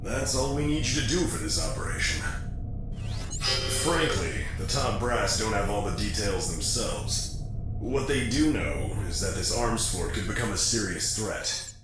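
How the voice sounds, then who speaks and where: An actor playing a frank, matter-of-fact corporate liaison giving a scripted mission briefing in a video game. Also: male voice, on the low side, animated, measured pace. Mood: frank, businesslike. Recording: very roomy echo, loud music behind.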